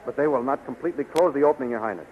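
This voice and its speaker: A man's voice: medium pitch, rapid-fire, lightly acted. Worried deferential captain played by a man, tinny radio. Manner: deferential, earnest. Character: dutiful, worried military captain of hawkmen. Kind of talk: 1930s radio serial acting. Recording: thin, noisy 1930s radio recording.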